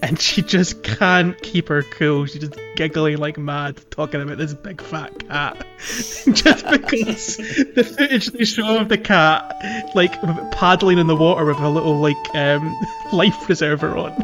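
Higher-voiced man, casual podcast chat. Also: unhurried, few pauses, over music. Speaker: podcast co-host.

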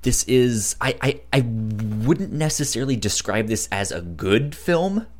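A man's voice: mid-range voice, lively intonation, unhurried, a little mellow, faint music behind. A man chatting casually on a podcast, with a frequent stutter that repeats syllables and catches on words. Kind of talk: casual podcast chat, giving recommendations.

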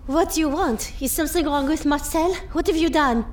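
A woman's voice: sits high, a little fast, a little hiss or hum, natural voice. An actress playing a proud, hostile older mother-in-law in an audio drama. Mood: hostile, suspicious.

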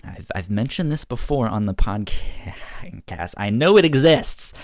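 A man co-hosting a podcast, chatting casually in a halting way and often repeating words as he goes. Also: lowish voice, lively intonation, no echo, clean studio sound. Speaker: podcast co-host. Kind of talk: casual podcast review chat.

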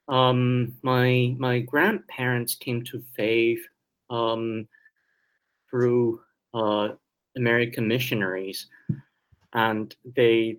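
A man from Taiwan with a slight Taiwanese Mandarin accent, answering interview questions hesitantly with many pauses and restarts. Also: mid-range voice, low-quality recording. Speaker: guest theologian.

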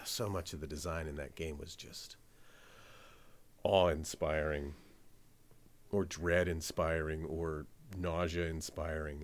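A man hosting a podcast, chatting casually and jokily, swearing now and then. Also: rumbling low. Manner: laid-back, jokey, swears casually. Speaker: podcast host. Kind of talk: casual chat.

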